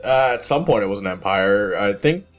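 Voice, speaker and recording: A man talking casually in a relaxed group chat, rambling and hesitant as he tells a story. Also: on the low side, normal speed, faint music behind. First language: English. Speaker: podcast guest.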